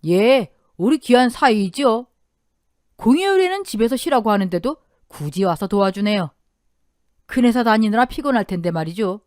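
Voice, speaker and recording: A woman's voice: on the high side, animated, normal speed, a little mellow, no room sound, studio quality, close to an everyday voice. A woman voicing a proud, commanding old father-in-law. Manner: firm, hearty and commanding. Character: proud older man, a protective father-in-law.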